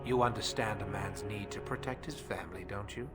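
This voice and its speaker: A man playing a pious, quietly menacing cult priest in a video game, grandiose and smoothly polite. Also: lowish voice, brisk, over loud music, lightly acted. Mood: veiled menace.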